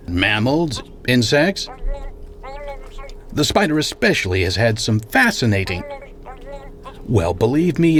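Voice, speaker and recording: A man's voice: mid-range voice, a bit bright. A man playing a domineering, menacing scientist tycoon in a radio drama, speaking in an imperious, sardonic way. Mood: boastful, intense. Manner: imperious, deliberate storyteller, sardonic.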